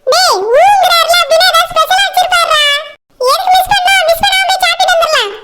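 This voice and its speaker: Casting: cocky, simple male cartoon sidekick, extremely high pitched-up squeak, rapid chatter, noisy track. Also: steady tone. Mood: eager.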